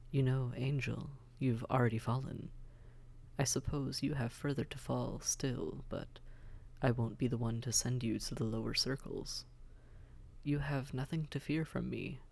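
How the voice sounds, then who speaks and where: A suave fallen-angel demon prince, acted by a man for an intimate audio drama. The voice is breathy with a heavy fry and often sinks toward a whisper. He coaxes and teases in formal words, keeping his pitch level and controlled. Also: fairly even intonation, darkish tone. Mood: seductive, tempting. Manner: unhurried, teasing, coaxing, formal wording.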